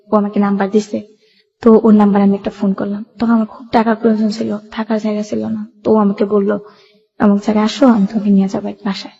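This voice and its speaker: A woman's voice: mid-range voice, warm tone, husky, dull audio. A young woman with a colloquial Bangladeshi Bengali accent, telling her life story in a plain, run-on way as a radio interview guest.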